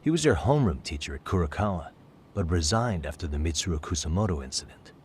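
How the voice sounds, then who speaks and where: An actor playing a composed, persistent private detective, calmly and politely probing in a video game cutscene. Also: male voice, low voice, slight background noise. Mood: probing, measured. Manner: calm, measured, politely probing questioning. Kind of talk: English-dubbed video game cutscene acting.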